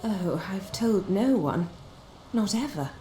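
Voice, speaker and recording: An actress playing a genteel, wistful old widowed countess in a video game, speaking with refined formality and theatrical hesitations. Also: female voice, medium pitch, animated, takes it slowly, warm tone, a little hiss or hum. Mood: embarrassed, hesitant. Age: older. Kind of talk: video game dialogue acting. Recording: roomy echo, music underneath.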